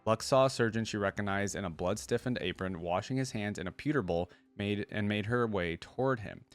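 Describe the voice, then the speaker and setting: A man's voice: fairly low pitch, brisk. A man hosting a reaction video, reading fantasy lore aloud and adding casual commentary.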